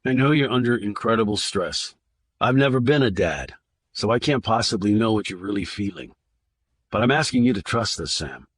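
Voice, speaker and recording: Audiobook role: a steady, reassuring male investigator, read by a man in a low, husky voice. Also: moderate pace, dry recording. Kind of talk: audiobook narration.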